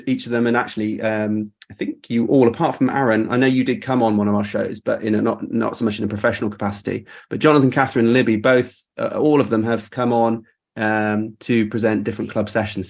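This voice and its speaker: A man's voice: fairly low pitch, steady tone, a little fast, creaky. A man hosting a casual live-stream chat in a chatty, appreciative way. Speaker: host of a marketing show.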